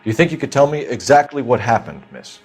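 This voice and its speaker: A man's voice: medium pitch, expressive, fast talker, a little mellow, close to an everyday voice. A man playing a businesslike young police patrolman in a game, clipped, probing and matter-of-fact. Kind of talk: dramatic game acting. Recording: faint background noise, street ambience.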